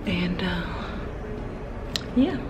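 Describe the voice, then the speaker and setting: A woman's voice: lowish voice, expressive, extremely slow, heavy background noise. A woman in her thirties talking casually to a handheld camera on a cruise ship, chatty with self-mocking asides. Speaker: vlogger. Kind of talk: casual vlog talk to camera. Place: cruise ship cabin and hallway. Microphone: handheld camera at arm's length.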